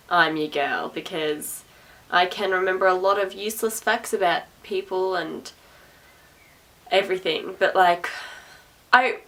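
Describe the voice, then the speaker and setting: Gravelly, loud young woman. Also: fairly low pitch. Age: young adult. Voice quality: naturally loud, projecting voice. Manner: sarcastic, chatty, repeats herself, laughs often. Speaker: vlogger. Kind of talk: rambling casual talk to camera. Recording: voice a little distant from the mic.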